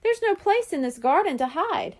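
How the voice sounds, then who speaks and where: Storybook role: stubborn, earnest small boy played by a woman, high voice, very animated. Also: moderate pace. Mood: thoughtful. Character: determined, stubborn young boy, curious and earnest.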